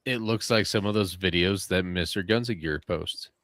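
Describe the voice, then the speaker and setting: A man's voice: sits low, normal speed, fairly bright tone, dry recording, clean studio sound. A man co-hosting a casual hunting podcast, showing off gear in a playful drawl. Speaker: podcast co-host. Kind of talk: casual chat.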